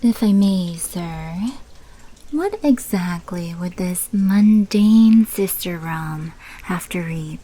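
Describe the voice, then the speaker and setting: A woman as a slow, mellow female vampire henchwoman. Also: on the low side, poor audio. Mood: deferential. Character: female vampire mafia underling, obedient yet wry. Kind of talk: scripted fantasy audio roleplay.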